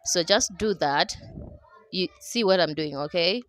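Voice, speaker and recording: A woman's voice: fairly low pitch, animated, measured pace, a bit bright. A young Kenyan woman whose first language is Dholuo, talking casually with a Kenyan accent as she narrates a cooking vlog over her own footage and keeps checking in with "okay?". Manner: chatty, laughing, keeps asking "okay?". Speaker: cooking vlogger. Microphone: voice-over mic.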